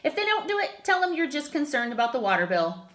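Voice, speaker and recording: A woman reading a children's picture book aloud like a teacher, with expressive, emphatic phrasing. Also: high-pitched, fast talker. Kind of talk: children's story read-aloud.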